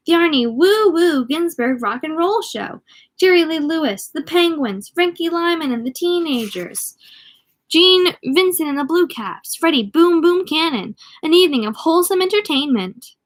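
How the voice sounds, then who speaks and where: A woman's voice: very high voice, expressive, measured pace, pitched above the performer's own voice. A woman voicing an upbeat promotional announcement for teens, listing items punchily in a storybook reading.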